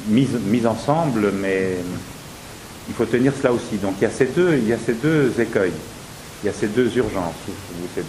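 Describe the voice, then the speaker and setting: A man's voice: fairly low pitch, lively intonation, normal speed, a little mellow, rough recording. A man, an actor, talking through his theatre work with many restarts and hesitations. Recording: hissy recording.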